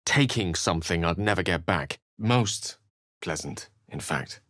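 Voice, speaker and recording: British wizard for a video game, low voice, super dry sound, wry n bookish, kinda theatrical. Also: male voice, expressive, a little slow, fairly bright tone, dry recording. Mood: wry, thoughtful. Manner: articulate, bookish, theatrical phrasing. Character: eloquent, urbane male wizard, wry and courteous. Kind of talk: video game character voice acting.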